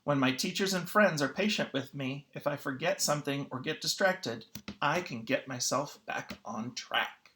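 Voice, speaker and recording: Someone reading a children's picture book aloud in an expressive, teacherly way. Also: mid-range voice, moderate pace, slight background noise. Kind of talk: children's read-aloud narration.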